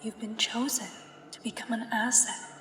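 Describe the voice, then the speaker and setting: A woman playing a soothing but uncanny government examiner in a sci-fi ASMR roleplay, speaking in a hushed, measured and formal way. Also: fairly high pitch, flat delivery. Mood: formal, persuasive. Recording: echoey long reverb, faint background noise. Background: sci-fi ambience.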